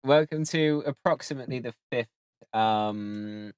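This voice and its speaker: Male stream host, mid-pitched, relaxed, stalls with ums between thoughts. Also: unhurried, smooth, no room sound. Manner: relaxed, hesitant, many ums. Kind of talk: casual chat on a live stream.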